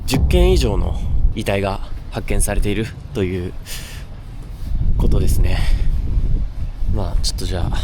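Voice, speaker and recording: A man hosting a haunted-spot exploration, talking casually and haltingly as he comments on location outdoors. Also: lowish voice, very noisy. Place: outdoors by a river floodgate at night. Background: background music.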